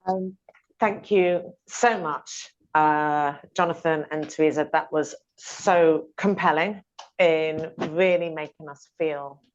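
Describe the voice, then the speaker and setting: A woman's voice: deep voice, slow pace. A woman chairing a panel seminar, moderating audience questions with effusive praise. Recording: slightly dull conference audio.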